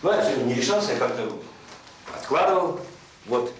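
An actor playing an awkward, shy, kind-hearted middle-aged man in a Soviet radio drama, talking in a halting, self-conscious, informal way. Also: mid-range voice, expressive, recorded from a distance, lightly acted. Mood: nervous, earnest. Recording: roomy, clearly noisy old radio play recording.

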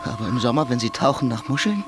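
An actor playing a haunted, frantic murderer in a German radio drama, halting and repeating himself, then breaking into defiance. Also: male voice, mid-range voice, very animated, lightly acted. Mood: haunted, guilty. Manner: halting muttering broken by outbursts, repeats words. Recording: music behind the voice.

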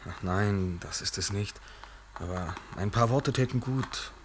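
Male actor as lonely drinker, radio drama: low voice, hesitant, subdued, faint noise. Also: unhurried, natural voice. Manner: hesitant, absent-minded, subdued. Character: distracted, lonely male bar customer, uneasy. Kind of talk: German radio play dialogue. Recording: faintly noisy old radio recording.

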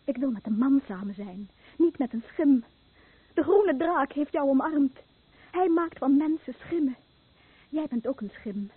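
Frightened young wife, high voice, hushed, wary intensity, radio drama, dry thin old recording. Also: female voice, animated, unhurried, dry recording, narrow, tinny audio. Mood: cold rejection. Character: frightened young wife recoiling from her stricken husband. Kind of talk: Dutch radio play acting.

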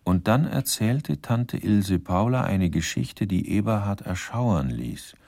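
An actor playing the wry narrator of a comic crime radio play, measured and dryly ironic. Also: male voice, on the low side, expressive, creaky, darkish tone, no echo, clean studio sound, exaggerated voice.

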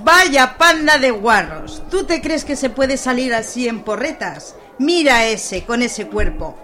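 Woman acting scandalized prudish mother, highish voice. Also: animated, measured pace, pushed and bright, slight background noise. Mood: indignant. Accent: Spain. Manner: indignant, scolding. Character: scandalized, prudish middle-aged mother, scornful of protesters. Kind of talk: radio drama acting.

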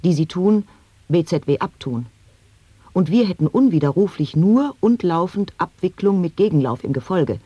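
A woman reading experimental sound poetry in a radio play, taking each word slowly and deliberately in a dry studio. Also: fairly low pitch, lively intonation, dark tone, slightly rough, no echo, dull audio. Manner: slow, deliberate word-by-word delivery.

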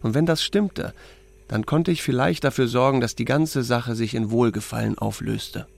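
A man's voice: medium pitch, normal speed, gravelly, darkish tone. A man narrating an audiobook in the first person and acting out its dialogue in a dry, laconic, understated way. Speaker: audiobook narrator voicing a small-town sheriff's first-person story. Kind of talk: scripted narration with acted dialogue.

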